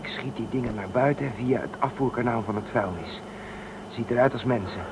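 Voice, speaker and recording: A man's voice: medium pitch, brisk, lightly acted. A man playing a practical, caring spaceship crewman in a radio drama, speaking plainly. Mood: urgent, resourceful. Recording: clearly noisy background.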